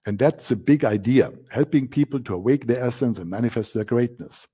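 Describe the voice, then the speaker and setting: A man's voice: mid-range voice, a little fast, warm tone, slightly rough. A man from Germany with a German accent, giving a masterclass presentation in an emphatic style, repeating key words and using frequent fillers. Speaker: coaching instructor.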